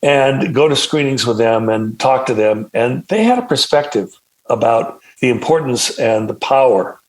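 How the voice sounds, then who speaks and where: A man's voice: mid-range voice, lively intonation, rough recording. Older man reminiscing in an interview. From California. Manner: measured, reflective storyteller. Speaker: film producer and director guest. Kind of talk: interview storytelling.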